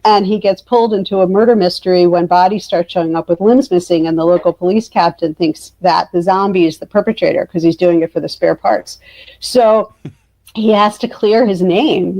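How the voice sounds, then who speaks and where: A woman author speaking informally in a casual interview, telling things in an animated way. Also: on the low side, low-quality recording.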